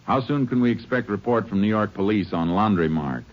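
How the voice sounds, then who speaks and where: An actor playing a calm, methodical Texas Ranger in a 1950s radio western, speaking in clipped, matter-of-fact phrases. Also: male voice, lowish voice, fairly quick, close to an everyday voice. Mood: brisk, official. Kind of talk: 1950s radio western drama acting and narration. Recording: dull old radio recording with clear hiss.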